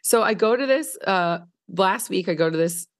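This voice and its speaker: A woman in her thirties, hoarse from a recent illness, telling a story on a podcast in a casual, filler-heavy way, laughing often. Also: medium pitch, animated, normal speed. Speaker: podcast co-host. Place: home living room by windows. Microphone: large studio mic on video call.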